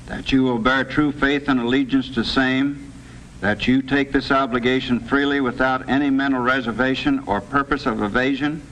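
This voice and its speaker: A man giving a formal, measured ceremonial address as an official swearing in police recruits. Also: medium pitch, a bit bright. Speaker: official administering a police oath. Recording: noisy 1960s film soundtrack.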